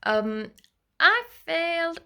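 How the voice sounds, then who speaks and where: Woman with a creaky voice. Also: very high voice, big pitch swings, drawn-out words. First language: Finnish. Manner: chatty and reflective, drops to soft near-whispers. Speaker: book vlogger. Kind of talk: casual reading vlog.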